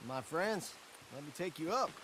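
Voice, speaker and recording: An actor playing a harried, weary marshal in a western game, plain-spoken, wary and folksy. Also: male voice, fairly high pitch, lively intonation, normal speed, natural voice. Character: weary, harried male Old West marshal. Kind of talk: dramatic game cutscene acting. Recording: clear rain noise behind. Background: rain.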